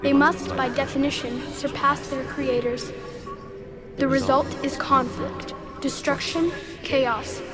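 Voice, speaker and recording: High voice, ancient synthetic intelligence, measured, detached, superior, video game cutscene, loud score behind. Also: female voice, level tone. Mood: cold, certain. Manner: measured, formal, detached, didactic. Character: ancient machine intelligence in child form, calm and superior. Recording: loud music behind the dialogue.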